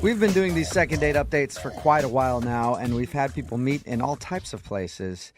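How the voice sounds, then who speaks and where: Guy doing morning radio host banter, music underneath, mid-pitched voice. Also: moderate pace.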